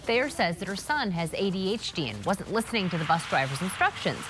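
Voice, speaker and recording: Lower-pitched woman news anchor, lively intonation. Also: fairly quick, music in the background, rough recording. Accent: American. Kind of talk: scripted news reading.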